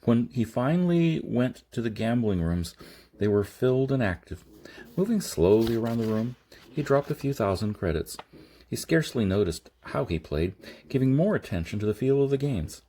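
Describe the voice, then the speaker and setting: A man reading a science fiction novel aloud as scripted narration, with a halting delivery that sometimes stumbles. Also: medium pitch, big pitch swings, mellow, a little hiss or hum. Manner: halting, stumbles and rereads phrases. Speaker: audiobook reader.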